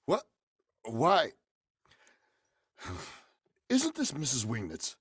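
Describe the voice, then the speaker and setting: An actor playing a bewildered, impatient gentleman in 1940s radio horror style, questioning everything in an exasperated, emphatic way. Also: medium pitch, wildly swooping pitch, drawn-out words, breathy edge, no room sound, studio quality, heavily acted. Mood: baffled, irritated.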